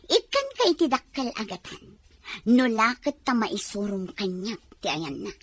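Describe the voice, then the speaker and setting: A woman acting an imperious, bitter, jealous wife in a melodrama, with a Filipino accent and a sharp, demanding manner. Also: on the high side, sing-song, a little slow, slightly rough, full character voice.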